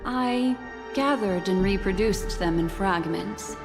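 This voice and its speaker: An actress playing a composed, cryptic and shrewd woman guide in a video game, speaking in a measured, deliberate way. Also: mid-range voice, music in the background. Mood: calm, brisk. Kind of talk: scripted video game dialogue.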